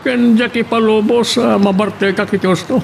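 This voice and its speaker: A man's voice: sits high, fairly even intonation, moderate pace, vocal fry, slight background noise, rough recording. A man acting an office boss in a radio romance drama, with an Ilocano accent. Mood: stern, instructing.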